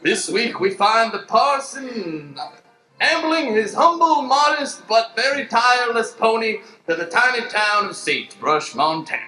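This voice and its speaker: High, swooping male Western narrator. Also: lively intonation, unhurried, sharp tone. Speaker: narrator in an improv show. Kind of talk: theatrical improvised Western radio-serial narration. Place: live improv show on a stage. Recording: echoey stage.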